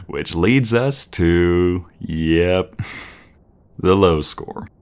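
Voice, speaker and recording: Very deep-voiced man, playful boyfriend roleplay. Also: animated, very slow.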